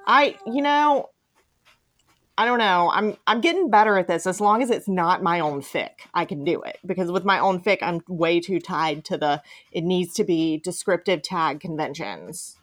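A woman's voice: fairly low pitch, normal speed, fairly bright tone, dry recording. A woman hosting a podcast in a casual, chatty way, playful and enthusiastic. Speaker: podcast host.

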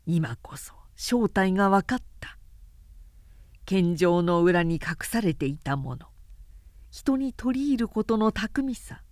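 Woman reading an old period tale, takes her time, pitch moves a lot. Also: animated, slow, darkish tone, dry recording, studio quality. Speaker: narrator reading a story aloud. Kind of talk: scripted literary narration of a period tale.

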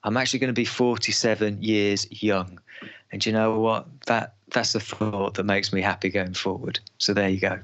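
A man's voice: fairly low pitch, level tone, moderate pace, fairly bright tone, no room sound, dull audio. A man in his forties, a podcast guest who talks about his schools programme in an enthusiastic, motivational way, with hesitations and restarts. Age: 40s. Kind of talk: casual podcast interview.

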